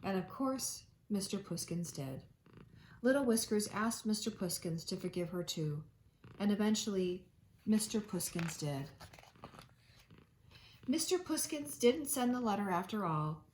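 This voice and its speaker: A woman teacher reading a children's storybook aloud, with expressive delivery and dramatic emphasis. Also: medium pitch, measured pace, slight background noise, rough recording.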